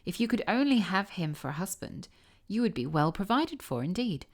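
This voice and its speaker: A woman's voice: mid-range voice, very animated, normal speed. A woman voicing a kindly, fussy old female field mouse in a storybook, chatty and full of advice. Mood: eager, gossipy. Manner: chatty, advising.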